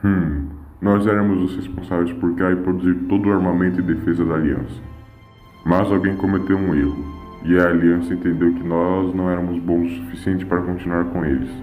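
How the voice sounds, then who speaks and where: Alien commander: extremely low, grunting, proud and wary, thin phone-like sound. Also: male voice, level tone, fairly quick, roomy sound, soft background music. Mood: grudging, bitter. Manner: grunting hums between short, haughty phrases. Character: gruff alien warrior leader, male, proud, wary.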